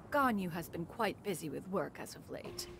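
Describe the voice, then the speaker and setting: A woman's voice: on the low side, creaky, noisy background, full character voice. A woman playing a stately ancient crane spirit in a woman's form, who speaks formally and calls herself "one," in video game dialogue. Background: game music.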